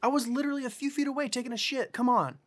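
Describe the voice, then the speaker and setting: A man's voice: very high-pitched, rapid-fire, a bit bright, dry recording. An actor playing a wrongly accused, defensive man in an audio drama, speaking plainly and justifying himself. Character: Aaron, accused man, defensive yet fascinated by the strange.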